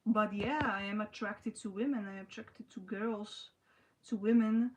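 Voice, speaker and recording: Halting young woman livestreaming. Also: mid-range voice, steady tone, poor audio. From Slovakia. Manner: halting, restarts often, frequent "you know". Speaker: livestream host. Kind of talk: casual livestream chat answering viewers.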